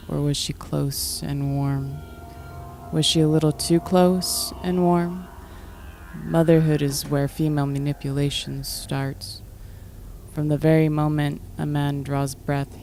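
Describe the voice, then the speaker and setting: A cold taped killer's voice. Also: female voice, low-pitched. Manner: slow, flat, accusing recital. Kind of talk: audio drama acting.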